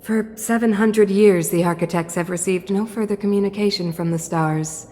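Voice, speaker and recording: An actress playing a regal, composed supreme ruler in a video game, stately, deliberate and condescending. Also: female voice, mid-range voice, fairly even intonation, dark tone, a little hiss or hum, lightly acted. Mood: knowing, stately. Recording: long hall reverb.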